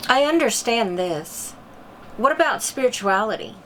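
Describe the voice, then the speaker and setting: A woman's voice: on the high side, lively intonation, unhurried, a bit bright, slight background noise, rough recording. A woman playing a concerned, curious mother who interviews an expert in a scripted drama, wide-eyed and sympathetic. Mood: concerned, questioning. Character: concerned, curious mother seeking diet advice. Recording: clear music bed behind the voice.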